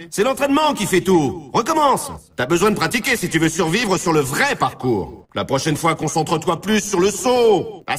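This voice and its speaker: High-pitched, excitable sports announcer. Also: male voice, breathy edge, far from the mic, heavily acted. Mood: teasing. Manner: emphatic, showy, informal. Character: excitable male snowboard race announcer and tutorial coach. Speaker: game announcer. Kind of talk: scripted video game sports commentary.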